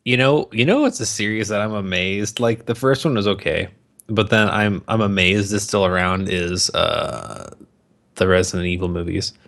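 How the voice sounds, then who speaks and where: Low-pitched man, bluntly opinionated. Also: normal speed, vocal fry, a bit bright. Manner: profane, hyperbolic. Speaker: podcast co-host. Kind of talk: casual chat, opinionated banter.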